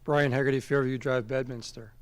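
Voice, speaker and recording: A man speaking earnestly and deliberately as a member of the public at a local meeting, arguing his point at a microphone. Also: medium pitch, fairly even intonation, slight background noise. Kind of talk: public comment speech at a local government meeting. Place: town committee meeting room. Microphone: standing at a public microphone.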